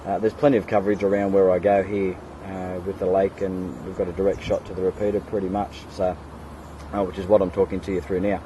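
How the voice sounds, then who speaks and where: Low-voiced Australian man on amateur radio. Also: quick pace, lo-fi sound. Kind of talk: casual radio chat with spelled callsigns. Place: outdoors in a kayak on a creek. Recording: outdoor recording with steady background noise.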